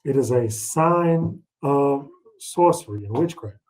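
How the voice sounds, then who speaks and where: A man's voice: medium pitch, animated, slow pace, a little mellow, low-quality recording. A middle-aged man teaching scripture in a conversational, colloquial way, checking in with his listeners through rhetorical questions. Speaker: Bible teacher leading a read-along. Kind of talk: informal, conversational scripture teaching with personal anecdotes.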